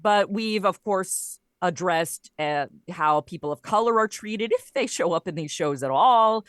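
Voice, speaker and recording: A woman's voice: on the low side, animated, a little slow, a bit bright, no room sound, clean studio sound. A woman speaking as an interview guest, telling stories in a casual, conversational way. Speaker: podcast guest, audio comedy creator.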